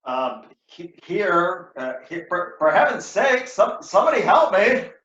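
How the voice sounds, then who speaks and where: A man playing a wounded, panicked soldier in a stage tragedy, pleading and stammering in halting bursts. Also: high voice, very animated, pitched above the performer's own voice. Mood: weak pleading. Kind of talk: hammy Shakespeare play acting. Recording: muffled, roomy echo.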